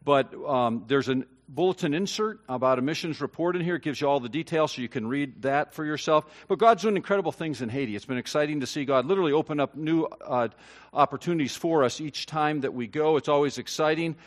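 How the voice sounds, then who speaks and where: Earnest pastor preaching, slightly high voice. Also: male voice. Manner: earnest, rising to emphatic peaks; halting, with many fillers and restarts. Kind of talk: sermon.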